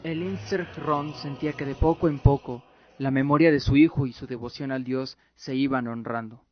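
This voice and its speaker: A man's voice: mid-range voice, steady tone, normal speed. A man narrating a scripted fantasy radio drama in a solemn storytelling manner. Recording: dull lo-fi audio with music behind. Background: music.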